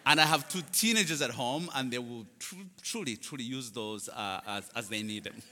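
A man's voice: mid-range voice, normal speed, bright, forceful tone. Ugandan-accented man in a reverberant hall. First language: not English; English is his fourth language. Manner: storytelling with self-deprecating humour. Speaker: guest speaker for a charity. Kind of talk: personal testimony to a congregation.

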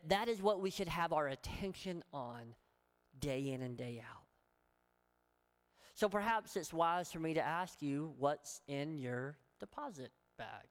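A woman pastor with a Southern US accent delivers an earnest, emphatic sermon, pressing her listeners with rhetorical questions. Her voice sits on the low side for a woman. Long reverb trails each phrase, as though she were recorded in a large church sanctuary.

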